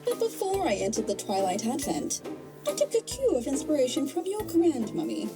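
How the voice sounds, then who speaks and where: A woman's voice: high voice, wildly swooping pitch, moderate pace. A woman playing a gloating, theatrical rabbit trickster villainess in an audio drama, mocking and hammy like a stage host. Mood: gloating, smug. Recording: music under the voice.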